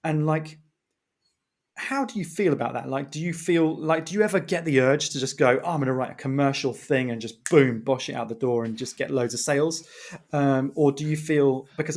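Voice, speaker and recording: A man hosting a casual podcast interview, talking in an informal, rambling way with animated phrasing and long questions. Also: mid-range voice. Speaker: podcast host, writer.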